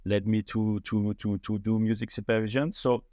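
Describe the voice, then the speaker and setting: Low-voiced French man, hesitant. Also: level tone, normal speed, warm tone, dry recording. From France. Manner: hesitant, many fillers and restarts. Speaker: startup founder, guest. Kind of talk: podcast interview conversation.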